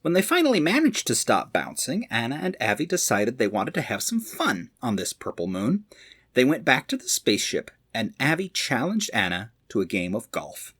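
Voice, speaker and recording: A man reading to children as a storyteller, expressive, with dramatic emphasis. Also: mid-range voice, moderate pace, breathy edge.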